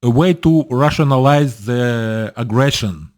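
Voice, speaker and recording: A middle-aged man from Ukraine whose first language is Russian, giving blunt, dismissive unscripted commentary in halting English, often stopping to search for words. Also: mid-range voice, darkish tone.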